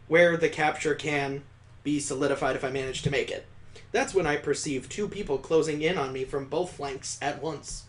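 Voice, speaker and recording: A man with a slightly high voice narrates a visual novel for a gaming audience. He reads the prose with drama, then drops into incredulous, amused commentary of his own. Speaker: gaming channel host doing a let's play. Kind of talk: reading visual novel text aloud with joking asides.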